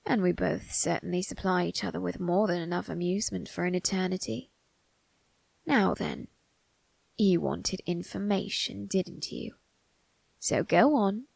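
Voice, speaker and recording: An eerie, ageless male undertaker, acted by a woman speaks in an ASMR roleplay. The voice is husky and breathy, crackling heavily with creak. The phrasing is formal and slyly amused, needling the listener with soft tag questions. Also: medium pitch, no room sound. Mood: amused, coaxing. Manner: slow, formal, teasing delivery with drawn-out tag questions.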